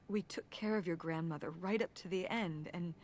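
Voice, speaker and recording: An actress playing a weary, gentle middle-aged mother in a naturalistic video game style. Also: fairly low pitch, a little slow, a little hiss or hum, natural voice. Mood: weary, sad.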